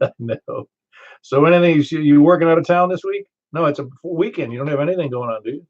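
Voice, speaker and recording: An older man with a Southern American accent running a ham radio net, chatty and quick to laugh as he tells stories. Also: on the high side, darkish tone. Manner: chatty, storytelling, laughs easily. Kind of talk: casual radio chat. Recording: muffled ham radio audio.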